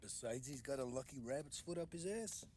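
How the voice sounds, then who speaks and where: Man voicing a joking gangster gunsmith, lively, sing-song delivery. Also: medium pitch, brisk, slight background noise, lightly acted. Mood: sarcastic. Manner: dry sarcastic joking. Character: wisecracking male bomb maker, sarcastic.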